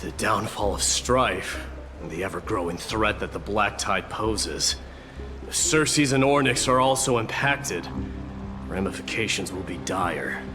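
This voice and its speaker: Game role: brooding noble warrior, acted by a man, low-pitched, solemn and restrained, over clearly audible music. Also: measured pace, close to an everyday voice. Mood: solemn, resolute. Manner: formal, grave, restrained. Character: proud, stern, brooding male warrior prince. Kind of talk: video game voice acting.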